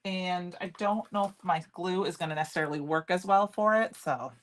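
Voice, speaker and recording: Loud, chatty woman with a lowish voice. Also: a little fast. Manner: rambling, chatty, answers viewer comments by name. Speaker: crafting livestream host. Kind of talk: casual chat while demonstrating crafts.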